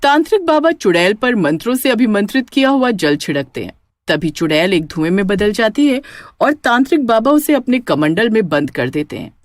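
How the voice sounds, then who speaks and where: Lively woman narrating a story. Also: medium pitch, no room sound. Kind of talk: scripted dramatic story narration.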